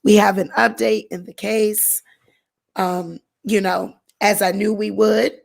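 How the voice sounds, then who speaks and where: A woman hosting her own commentary channel, speaking with a hoarse voice and a chatty manner full of "you know" and "um" fillers. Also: medium pitch, flat delivery, slow. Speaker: channel host. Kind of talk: casual commentary vlog.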